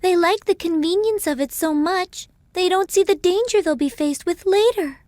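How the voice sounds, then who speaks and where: A woman's voice: very high-pitched, moderate pace, a little mellow, no room sound. A woman acting a sweet, innocent, earnest teenage girl in a fantasy video game.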